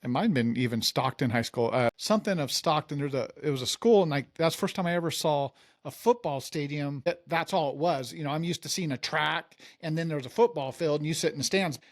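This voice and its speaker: A man's voice: a little high, a little fast, hardly any pauses. A middle-aged man from California hosting a casual interview, rambling and conversational with many fillers. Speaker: podcast host.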